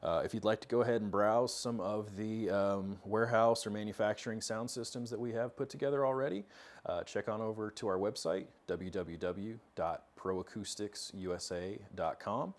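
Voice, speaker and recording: Low-pitched American man presenting casually. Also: fairly even intonation, moderate pace. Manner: informal, explanatory. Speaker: host of a product tech talk series. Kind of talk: casual instructional presentation to camera. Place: indoor workshop studio with metal cabinets.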